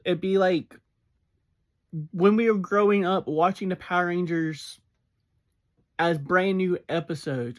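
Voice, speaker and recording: A fan in their thirties or forties giving a casual, chatty spoken review, laughing now and then. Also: medium pitch.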